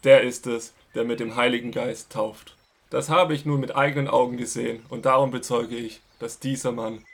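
A fervent desert prophet, calls out his words with a gravelly edge. The voice sits mid-range and carries an earnest, urgent weight. It suits a biblical radio drama. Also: mid-range voice, fairly even intonation, normal speed. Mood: proclaiming. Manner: raised, proclaiming.

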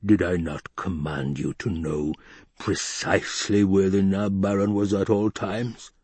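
A man voicing a menacing obese baron who speaks slowly in a silken tone and then roars. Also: low voice, steady tone, a little mellow, husky, no room sound. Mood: silken menace.

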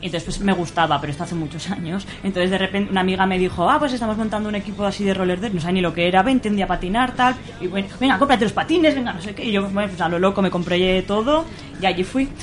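A woman's voice: medium pitch, rapid-fire. A woman with a Spanish accent from Spain chatting informally as an interview guest, with salty, everyday phrasing. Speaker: interview guest, roller derby player. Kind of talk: casual chat.

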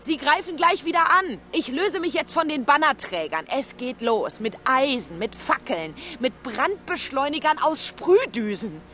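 A woman's voice: sits high, sing-song, unhurried, hardly any pauses, pushed and bright, a little hiss or hum, heavily acted. A woman playing a small, restless winged lizard and fighter in a radio drama. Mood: urgent, impatient.

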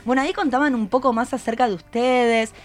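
A woman's voice: a little high, fairly even intonation, a little fast, faint music behind. An Argentine woman hosting a casual live radio interview, encouraging her guests and prompting them with questions. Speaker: radio show host interviewing students. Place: radio studio. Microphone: studio microphone.

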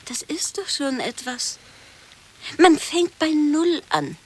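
Slow, genteel, inquisitive lady. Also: high-pitched, big pitch swings, a little mellow, full character voice. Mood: polite curiosity. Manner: hesitant, mannered politeness. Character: genteel, politely inquisitive woman making small talk. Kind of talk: absurd comic radio play. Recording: hissy radio play recording.

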